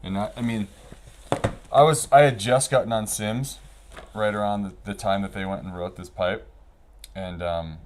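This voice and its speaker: A man's voice: fairly low pitch, steady tone, normal speed, long pauses, darkish tone, slight background noise. A man around fifty speaking as a guest, telling stories casually in loose, slangy talk. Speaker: interview guest, snowboarding veteran. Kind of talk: casual storytelling while flipping through a book.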